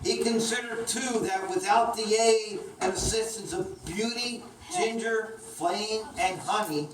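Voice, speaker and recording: Slow storytelling woman in an echoey room. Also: mid-range voice. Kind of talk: scripted children's story narration. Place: indoor room, picked up from a distance. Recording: distant echoey room, clear noise.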